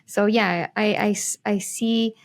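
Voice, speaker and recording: A woman's voice: medium pitch, fairly even intonation, slow pace. A woman from Chile hosting an interview, chatting casually with many fillers as she sets up her questions. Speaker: interviewer, host.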